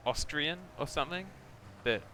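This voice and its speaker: A man's voice: a little high, normal speed, pushed and bright. A man streaming a game live, chatting casually in a rambling, hesitant way as he thinks aloud. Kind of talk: casual chat while gaming, reading out chat. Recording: game battle noise behind voice. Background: game artillery fire and vehicle engine sounds.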